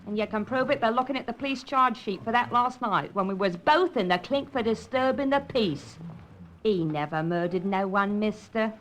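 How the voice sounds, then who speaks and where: A woman's voice: medium pitch, normal speed, fairly bright tone, lightly acted. An actress playing a bossy, cheeky Cockney barmaid who scolds and shields her sweetheart in an old-time radio crime drama. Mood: defiant. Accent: London Cockney. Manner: scolding, cheeky, ungrammatical back chat. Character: brash, bossy working-class barmaid, thirteen stone, fiercely protective sweetheart. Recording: noisy vintage radio recording.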